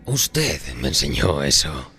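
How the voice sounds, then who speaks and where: An actor playing a sly, calmly defiant man who bargained with the devil, in a horror audio drama. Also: very deep voice, slow pace, gravelly, exaggerated voice. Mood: sly, content. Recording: faint music behind the voice.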